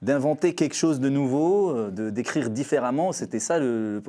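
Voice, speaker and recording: A man's voice: mid-range voice, moderate pace, mellow. A man of Arabic mother tongue, an interview guest answering in French, hesitant with frequent fillers and restarts.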